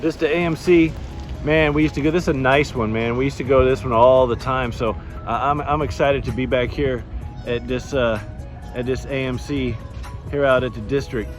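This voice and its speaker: A man hosting a movie and toy vlog, talking in a casual, excitable, slangy way. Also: medium pitch, moderate pace, over music. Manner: excitable, slangy, chuckles often. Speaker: host of a toy and movie review channel. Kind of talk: casual, enthusiastic vlog chat.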